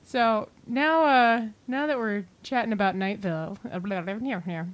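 A woman's voice: medium pitch, normal speed. A woman co-hosting a podcast in casual chat, with a playful, jokey manner. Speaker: podcast co-host.

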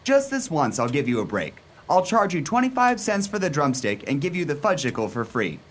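A woman's voice: sits low, very animated, brisk. A woman playing an earnest, flustered rookie ice cream seller in a radio play, hesitant and accommodating. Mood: obliging.

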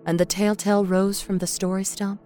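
A woman's voice: medium pitch, level tone, normal speed, warm tone. A woman reading a scripted story as a dramatic storyteller. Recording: faint music bed.

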